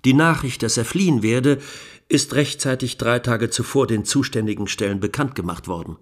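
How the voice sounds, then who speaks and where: A man's voice: medium pitch, natural voice. A man playing a grave, indignant 1920s German defence lawyer, pleading with solemn, emphatic rhetoric in a radio documentary. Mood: indignant, insistent. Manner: solemn, rhetorical, emphatic oratory with deliberate pauses. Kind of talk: dramatized courtroom closing speech, radio documentary.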